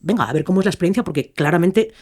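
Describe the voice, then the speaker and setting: A man's voice: sits high, animated, rapid-fire, a little mellow, husky. A man hosting a casual interview, asking questions and musing conversationally. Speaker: interviewer, podcast host.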